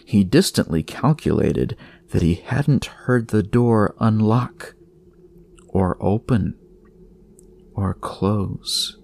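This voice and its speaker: A man narrating scripted horror fiction in a measured way, with dramatic pauses. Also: on the low side, expressive, gravelly, a little mellow.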